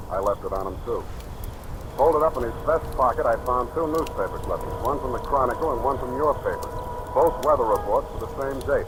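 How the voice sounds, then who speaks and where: Man acting hard-boiled private eye, wry, deadpan, 1940s radio drama, vintage recording, crackling fire noise behind. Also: mid-range voice, lightly acted. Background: crackling bonfire and outdoor ambience.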